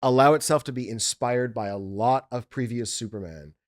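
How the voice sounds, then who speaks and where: Man, casual discussion, enthusiastic, emphatic, mid-pitched, dry close sound. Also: normal speed, no echo. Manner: enthusiastic, emphatic, repeats intensifiers. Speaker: audiobook producer in a discussion. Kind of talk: casual chat about favorite comics and films.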